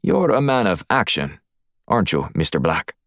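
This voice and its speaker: A man voicing a hulking, smooth, menacing Russian crime boss with a clipped, deliberate Russian accent in an audiobook. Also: low voice, big pitch swings, fairly bright tone, no room sound, studio quality, heavily acted. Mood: menacing, probing. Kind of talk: audiobook character voice.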